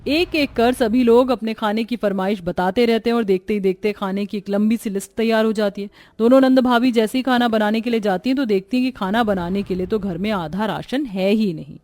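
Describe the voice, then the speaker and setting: A woman narrator reading a scripted cartoon family story in an expressive storytelling manner. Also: mid-range voice, brisk, hardly any pauses.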